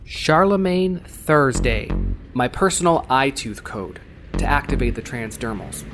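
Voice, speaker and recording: A man's voice: medium pitch, expressive, a little slow, noisy background, natural voice. A man voicing a cheeky male motorbike courier in a cyberpunk audio story, conversational and full of bravado. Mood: businesslike, casual. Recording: voice over prominent synthwave music.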